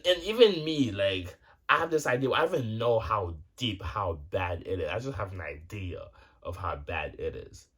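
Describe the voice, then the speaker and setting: A man's voice: sits low, husky. A man in his twenties talking casually to his viewers, rambling and cursing freely with lots of restarts and fillers. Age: 20s. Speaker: vlogger. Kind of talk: casual rambling monologue to camera.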